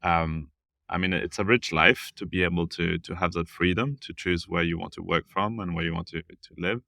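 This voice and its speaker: Male interview guest from southern France, low creaky voice with flat intonation, thoughtful and halting. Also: no room sound, studio quality. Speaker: interview guest, village founder. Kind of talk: casual interview conversation.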